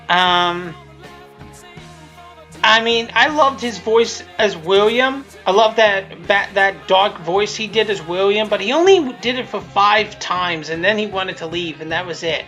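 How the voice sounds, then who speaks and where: A woman's voice: medium pitch, bright, forceful tone. A woman giving a casual, unscripted commentary in a rambling, blunt way, often restarting and repeating her phrases. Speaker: creator of a fan abridged series.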